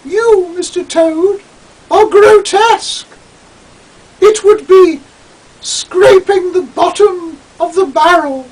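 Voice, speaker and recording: A man's voice: slow, distant mic, low-quality recording. A man voicing a snooty, effeminate young man who speaks in a prim, disdainful, girlish tone. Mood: haughty. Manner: prissy, disdainful, high and girlish.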